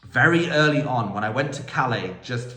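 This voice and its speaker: A man's voice: medium pitch, animated, normal speed, pushed and bright. A man in his thirties talking about learning languages in a casual, enthusiastic Q&A, wandering off on tangents. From United Kingdom. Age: 30s. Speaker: language school owner and polyglot vlogger. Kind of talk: casual Q&A monologue. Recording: echoey room.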